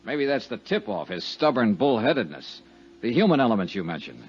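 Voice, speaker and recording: A man's voice: mid-range voice, sing-song, a little fast, husky. An American man playing a hard-boiled, brooding and self-assured insurance investigator in a 1950s radio detective drama. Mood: insistent. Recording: dull, muffled 1950s radio recording.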